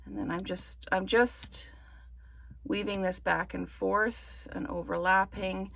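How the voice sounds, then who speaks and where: A woman from Canada giving a relaxed craft tutorial, her voice slightly croaky from smoke, rambling with many ums. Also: medium pitch, takes it slowly. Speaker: card-making demonstrator. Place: indoors at a craft table.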